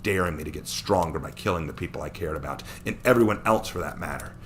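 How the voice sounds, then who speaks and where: A man with a deep voice reads a dramatic story aloud. He works as the narrator of a scripted action tale. There is a faint noise in the background. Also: fast talker.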